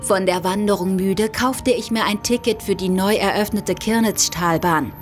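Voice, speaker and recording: Woman as a wry young smuggler, mid-pitched. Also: natural voice. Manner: wry, self-assured, confiding. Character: worldly young female smuggler, wry and guarded. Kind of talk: first-person radio play narration.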